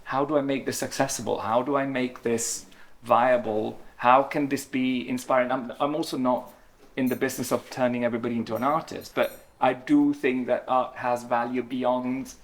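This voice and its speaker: A man's voice: mid-range voice, fairly even intonation, moderate pace. A man speaking on a symposium panel, reflective and stop-start, often repeating his words. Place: event hall with audience.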